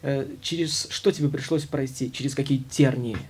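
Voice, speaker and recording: A man hosts a studio interview, introducing a guest and asking questions. His speech is halting and full of filler sounds between words, in a mid-pitched voice. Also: steady tone, darkish tone, husky. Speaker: show host. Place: studio with logo backdrop. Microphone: studio mic on stand.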